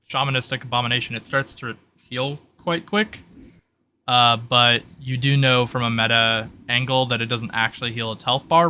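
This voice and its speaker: A man narrating a tabletop roleplay session as game master, in a halting delivery with drawn-out pauses. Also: mid-range voice, pushed and bright, a little hiss or hum.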